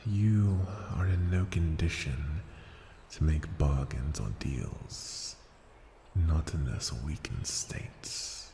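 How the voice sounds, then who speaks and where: An ancient dragon played by a man murmurs in a low, gravelly, breathy voice, often sinking to a whisper. He is seductive and possessive, addressing a mortal with condescending tenderness in an intimate fantasy roleplay. The sound is roomy, with echo around the words. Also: mellow, exaggerated voice. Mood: condescending, commanding. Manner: slow, deliberate, teasing endearments. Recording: roomy echo, noisy ambience.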